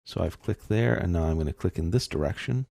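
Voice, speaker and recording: A man giving an informal step-by-step software tutorial, explaining casually as he thinks aloud. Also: sits low, lively intonation, fairly quick, creaky, no echo, clean studio sound.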